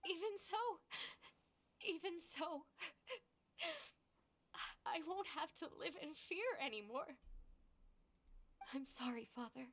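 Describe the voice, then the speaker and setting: A woman playing a timid, fearful young orphanage member in a video game, meek and halting. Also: high voice, lively intonation, fairly bright tone, heavily acted. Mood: tearful, apologetic.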